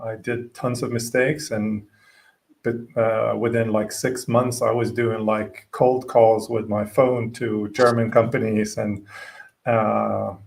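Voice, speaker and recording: A man from Finland whose first languages are Swedish and Finnish, a podcast guest chatting casually, halting with many fillers and restarts. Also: fairly low pitch, level tone, gravelly, darkish tone, far from the mic. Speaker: podcast guest, gym owner. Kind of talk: casual interview chat.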